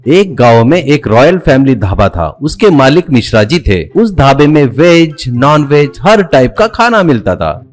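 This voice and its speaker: A man narrates a cartoon tale from a script. His pitch moves in a lively way as he tells the story. Faint music plays underneath. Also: measured pace.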